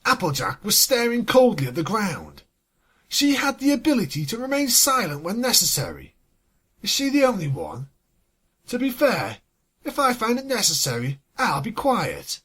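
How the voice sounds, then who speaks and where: A story reader with a husky voice, sardonic and exasperated, swinging between narration and sweary asides. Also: mid-range voice, wildly swooping pitch, normal speed, a bit bright. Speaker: fan fiction reader and commentator. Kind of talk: scripted story reading with sarcastic asides.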